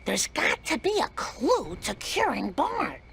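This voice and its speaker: Middle-aged cartoon housewife: gravelly, bright, doting and anxious. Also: female voice, high-pitched, huge pitch swings, slow pace, slight background noise, exaggerated voice. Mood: worried. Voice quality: raspy, gravelly. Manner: anxious, fretful, motherly. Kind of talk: animated cartoon game acting.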